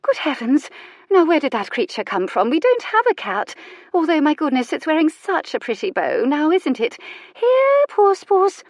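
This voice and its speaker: A woman's voice: sits high, huge pitch swings, normal speed, dry recording, clean studio sound, pitched above the performer's own voice, heavily acted. A woman voicing a dreamy, fluttery older noblewoman who exclaims easily.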